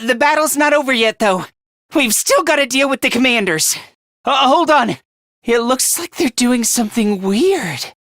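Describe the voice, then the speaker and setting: A man's voice: very high-pitched, pushed and bright, no room sound, clean studio sound, full character voice. A man voicing a timid, self-loathing young male student fighter in an English video game, hesitant and stammering as he puts himself down.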